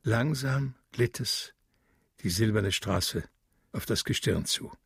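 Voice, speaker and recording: Very husky, slow male narrator. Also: fairly low pitch. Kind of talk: literary radio drama narration.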